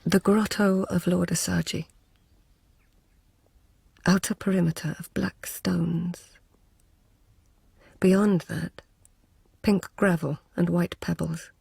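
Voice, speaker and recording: An actress playing an intimate, anxious court lady in a radio drama, with a hushed, breathy, husky voice and deliberate phrasing. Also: lowish voice, fairly even intonation, no echo, full character voice. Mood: hushed, contemplative. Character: intimate, anxious Japanese court lady who chronicles palace life. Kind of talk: radio drama acting and poetic narration.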